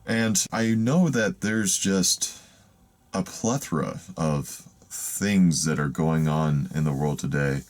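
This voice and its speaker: A man with an extremely deep, raspy voice talks straight to the camera. He rambles and hesitates, circling back and restarting his sentences. He is from the United States. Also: slow. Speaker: van life vlogger. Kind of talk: casual talk to camera. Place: in a van.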